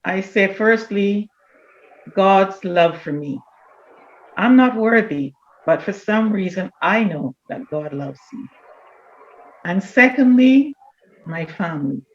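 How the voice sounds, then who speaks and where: An older woman, reminiscing in a measured, deliberate way as an interview guest. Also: medium pitch, animated, lo-fi sound. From Barbados. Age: older, around 70. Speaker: church elder, interview guest.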